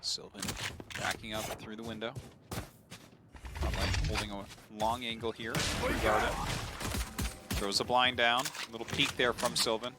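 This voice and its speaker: A man calling live esports play-by-play, excitable and quick with his calls. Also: on the low side, very noisy. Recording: commentary over loud game music.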